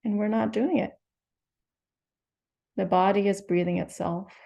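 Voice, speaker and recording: A woman leading a guided meditation, speaking slowly and gently with soothing instructions and long pauses. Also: medium pitch, steady tone, vocal fry. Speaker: meditation teacher.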